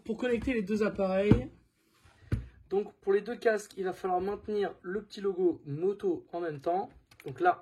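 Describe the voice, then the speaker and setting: A man's voice: high voice, mellow, slight background noise. A man presenting a product in a casual hands-on tutorial, informal and thinking aloud as he goes.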